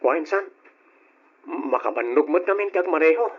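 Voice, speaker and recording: Meek, put-upon young Ilocano nephew, slightly high voice, muffled radio drama. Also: male voice, animated, moderate pace, slightly rough. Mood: puzzled.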